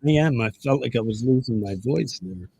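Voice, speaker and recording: A man's voice: medium pitch, brisk, creaky, darkish tone, breathy edge, no room sound. A man hosting a community music show, chatting casually with his co-host, laughing and joking often.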